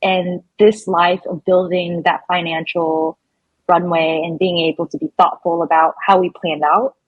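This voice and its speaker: A woman with a slightly raspy, husky voice chats as a guest on a podcast. She is informal and works out her thoughts as she speaks, in a dry sound free of room echo. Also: on the low side, level tone, a little slow, muffled recording. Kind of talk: casual interview chat.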